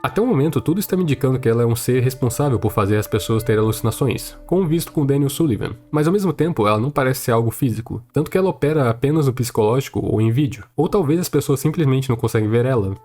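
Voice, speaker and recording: A man's voice: mid-range voice, lively intonation, rapid-fire, hardly any pauses, dark tone. A man with a Brazilian accent narrating a scripted explainer in a conversational, explanatory way. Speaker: video essay narrator.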